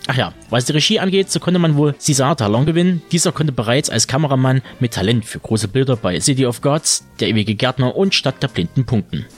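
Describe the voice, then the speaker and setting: A man's voice: mid-range voice, expressive, brisk, hardly any pauses. A man hosting a podcast, reading scripted series news in a wordy, tongue-in-cheek way. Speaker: podcast host. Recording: music bed under the voice. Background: music.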